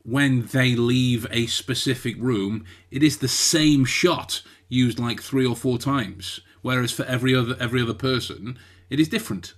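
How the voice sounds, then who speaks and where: Homem britânico hesitante, voz média. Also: male voice, medium pitch, moderate pace. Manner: hesitant, many repeated words and restarts. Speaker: podcast co-host. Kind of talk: casual chat.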